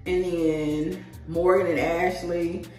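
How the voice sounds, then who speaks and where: A woman, chatting casually to camera in a rambling, animated, slangy way as a vlogger. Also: on the low side, slow. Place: small tiled indoor room at home. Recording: echoey room, distant mic, music behind.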